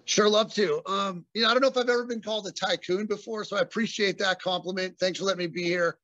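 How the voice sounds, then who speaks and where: Man, forties, from Southern California, interview guest, chatty with fillers, slightly high voice, dry close sound. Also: steady tone, quick pace, bright, forceful tone, dry recording, lo-fi sound. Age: 40s. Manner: friendly, rambling, many fillers. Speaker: guest, business owner. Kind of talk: casual interview conversation.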